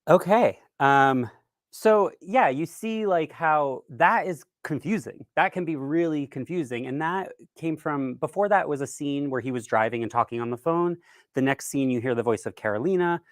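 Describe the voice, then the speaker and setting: A man's voice: a little high, expressive, moderate pace, dry recording, clean studio sound. A man giving an informal instructional keynote talk at a virtual event, explaining in a conversational, somewhat hesitant way. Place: virtual event, speaking from a dry room.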